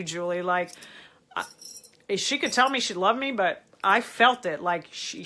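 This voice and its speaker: A woman's voice: mid-range voice, sharp tone. A middle-aged woman with a cold and a stuffy voice, earnestly giving advice on a live stream and answering viewers' comments. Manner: earnest, emphatic, reads comments aloud. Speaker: host answering viewer questions.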